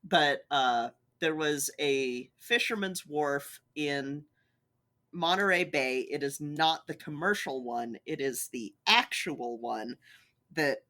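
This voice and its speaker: A woman's voice: low voice, takes it slowly, sharp tone. A woman co-hosting a podcast, chatting casually and enthusiastically. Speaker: podcast co-host. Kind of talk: casual chat.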